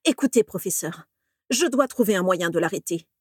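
A woman's voice: lowish voice, animated, normal speed, husky, no echo. Determined young male wizard for an audiobook, read by a woman, insistent and earnest, dry studio sound. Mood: urgent. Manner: firm, insistent. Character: determined, urgent young man, former pupil wizard.

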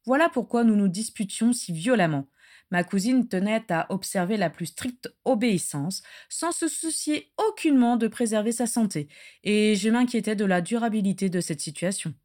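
Woman reading as a young nobleman, earnest, formal, protective, defiant, mid-pitched, fantasy audiobook. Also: expressive, moderate pace, darkish tone, natural voice. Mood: earnest defiance.

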